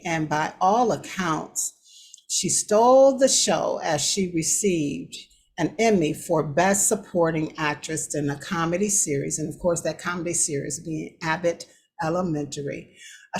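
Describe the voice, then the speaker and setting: A woman hosting a talk show with casual commentary, often halting as she thinks aloud. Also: lowish voice. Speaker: show host. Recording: clear background noise.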